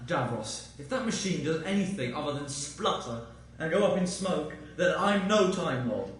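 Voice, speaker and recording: An actor playing a defiant, flippant alien time-traveller held prisoner in a sci-fi radio drama, speaking with theatrical sarcasm. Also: male voice, on the high side, lively intonation, moderate pace, close to an everyday voice. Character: the Doctor, defiant sardonic Time Lord prisoner. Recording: strongly echoey chamber, faint music.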